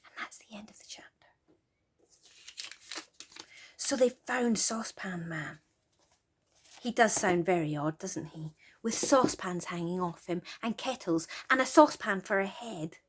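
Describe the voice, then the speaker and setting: Very animated woman reading a bedtime story. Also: medium pitch, measured pace, pauses often, slight background noise. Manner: gentle, often dropping to a hushed breathy near-whisper. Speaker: children's storyteller. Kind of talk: expressive bedtime story narration.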